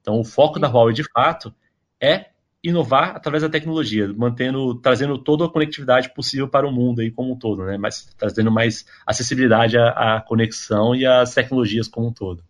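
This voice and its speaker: A man's voice: mid-range voice, brisk. A man from Brazil, a guest in a casual podcast interview, explaining at length with frequent fillers and tag questions. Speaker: interview guest, tech company employee.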